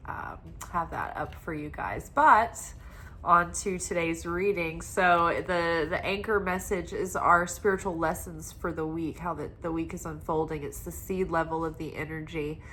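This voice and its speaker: A woman giving a weekly tarot reading in a soft, meandering, spiritual way, her voice stuffed up and congested from crying. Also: fairly low pitch, fairly even intonation, a little slow, a little hiss or hum. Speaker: tarot reader.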